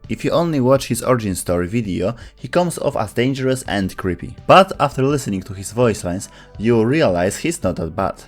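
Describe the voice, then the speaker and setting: A man narrates a scripted explainer video. His voice is of medium pitch. Music plays faintly behind him. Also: lively intonation, a little fast, few pauses.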